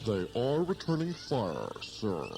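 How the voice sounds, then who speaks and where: A man's voice: mid-range voice, huge pitch swings, slow, very noisy, full character voice. A man playing an earnest, easily baffled starship bridge officer in an amateur parody sketch. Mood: earnest alarm. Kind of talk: hobby sci-fi parody sketch. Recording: music behind the voice.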